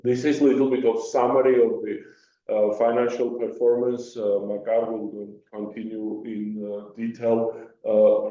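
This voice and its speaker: A man presenting company results on a conference call as an executive, with a halting, hesitant delivery. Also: medium pitch, dark tone. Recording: muffled, very echoey, distant microphone.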